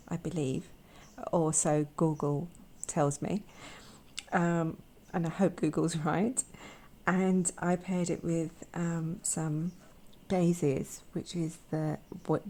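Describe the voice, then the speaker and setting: A young woman hosts a relaxed art vlog in a croaky, sore-throated voice. She speaks very slowly and softly, with a mellow, darker tone, and often sinks into a whisper. Her talk is gentle and unhurried, dotted with small hesitations. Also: deep voice. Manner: soft-spoken, gentle, often drops to a whisper; hesitant with frequent fillers. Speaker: watercolour artist and channel host. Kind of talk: casual art vlog, swatching paints.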